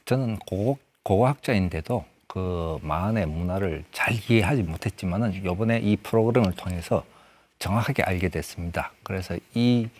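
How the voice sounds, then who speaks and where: A middle-aged archaeologist on a studio panel, delivering prepared remarks in a steady, formal way. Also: male voice, low-pitched, normal speed.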